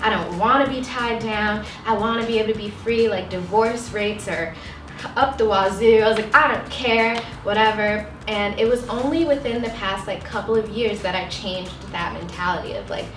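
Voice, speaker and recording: A woman's voice: mid-range voice, normal speed, a little hiss or hum. Twenty-something woman chatting candid on a couch, lots of likes, echoey room. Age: 20s. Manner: chatty, frank, many likes and you knows. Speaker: panel guest. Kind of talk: candid casual chat. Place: studio set with sofa, indoors. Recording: roomy, distant, music bed.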